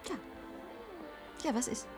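An actress playing a weary, dry-witted scientist and programmer with a brisk, impatient manner in a radio drama. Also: female voice, music in the background, lightly acted. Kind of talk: 1970s radio drama dialogue.

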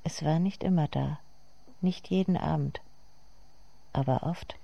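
An actress playing a somber narrator in a literary radio drama, speaking in measured, deliberate phrases. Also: female voice, low-pitched, gravelly, mellow, muffled recording, full character voice.

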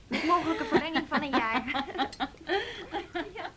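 An actress playing a playful, wistful schoolgirl, speaking softly in an intimate radio drama style. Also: high voice, measured pace, crowd noise.

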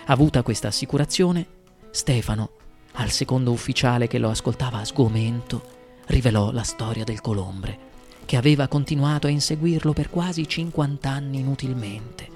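Guy narrating a literary tale, theatrical n deliberate, mid pitch, bit of music behind. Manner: theatrical, deliberate phrasing with varied emphasis. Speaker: story reader.

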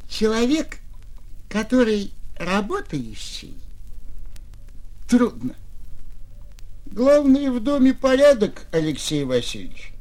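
A man playing a tipsy, pompous landlord who rambles haltingly and grumbles, in a radio story. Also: high voice, wildly swooping pitch, darkish tone, pitched above the performer's own voice, heavily acted. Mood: muddled, pompous. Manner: halting, rambling, loses his words. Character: tipsy male landlord, pompous, muddled, grumbling about order.

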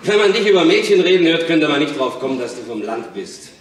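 A man's voice: on the high side, big pitch swings, brisk. An actor playing a brash, bawdy young man in a German radio play, teasing and lecturing in a worldly, mocking way. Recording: echoey room, slightly distant, faint hiss.

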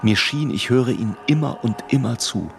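An actor playing a grown man who recalls his tormented boyhood in grave, measured literary narration for a radio play. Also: mid-range voice, creaky, faint music behind, lightly acted. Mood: haunted, grave.

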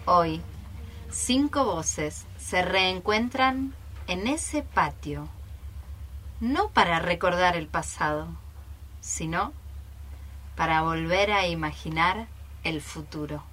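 A woman's voice: lowish voice, animated, slow, pauses often, fairly bright tone, heavily acted. A woman playing a solemn, nostalgic narrator in a radio play.

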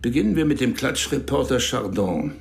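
A man's voice: mid-range voice, sing-song, normal speed, creaky. An actor playing a commanding male intelligence chief in a radio drama, briefing his staff with cool authority. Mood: lecturing.

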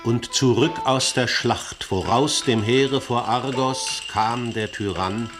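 A man as a slow, solemn chorus narrator. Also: medium pitch, close to an everyday voice. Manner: solemn, deliberate. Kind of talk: slow classical verse narration. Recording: music behind. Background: music.

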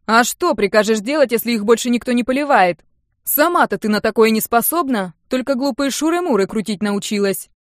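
A woman's voice: on the high side, moderate pace, dry recording, studio quality, natural voice. A woman voicing a touchy, sarcastic young uncle in an audiobook, bossy and full of mocking, indignant retorts.

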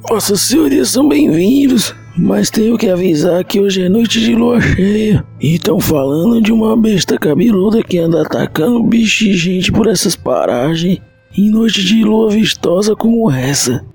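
A man's voice: high voice, expressive, moderate pace, gravelly, a little mellow, husky, soft background music, pitched above the performer's own voice, heavily acted. A man voicing a humble, cautious old farmer from the backlands in an audio drama, deferential and full of warning. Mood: respectful warning. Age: older. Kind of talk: audio drama character acting.

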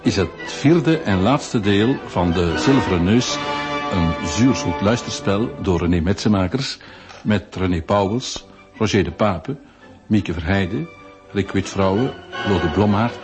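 A man's voice: low-pitched, lively intonation, a little slow. A man announcing a radio play and its credits in a scripted style. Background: music.

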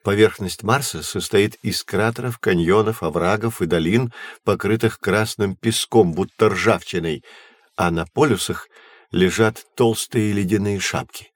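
Low-voiced patient male teacher. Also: no room sound. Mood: explanatory. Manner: measured, explanatory, clearly phrased. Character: patient, knowledgeable male astronomy teacher guiding children. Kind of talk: scripted educational audio drama narration.

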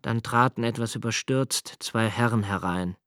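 An actor playing a melancholy, observant young man, narrating a literary radio drama in a measured, introspective way. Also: lowish voice, a bit bright, no echo, clean studio sound, close to an everyday voice. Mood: subdued, melancholy. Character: melancholy, observant young man recalling his father's death.

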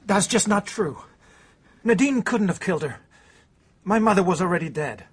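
An actor playing an anguished, browbeaten and bitter stepson, speaking emotionally and haltingly in a radio drama. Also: male voice, high-pitched, animated, husky. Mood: defensive.

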